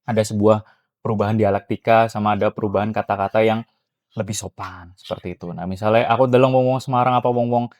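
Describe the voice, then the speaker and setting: A young man speaking with a Banyumasan Ngapak accent, his voice sitting somewhat low. He chats loosely and wanders in his talk, mixing slang with Javanese. The sound is dry and close, with no room echo. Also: fast talker, dull audio. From Cilacap, Central Java. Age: young adult. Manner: relaxed, rambling, mixes slang with Javanese. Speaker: podcast guest, university student. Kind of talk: casual podcast chat.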